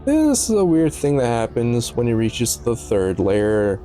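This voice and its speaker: A man's voice: medium pitch, huge pitch swings, measured pace, mellow. A man giving casual, analytical video commentary in a musing, slightly stumbling way, with offhand asides. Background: background music.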